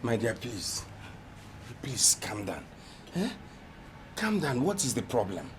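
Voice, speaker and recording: An actor playing a flustered, henpecked husband in a film drama, repetitive and stammering, with a Nigerian accent. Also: male voice, mid-range voice, wildly swooping pitch, takes it slowly, background noise. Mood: questioning. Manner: stammering repetition when agitated. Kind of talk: Nollywood film drama acting.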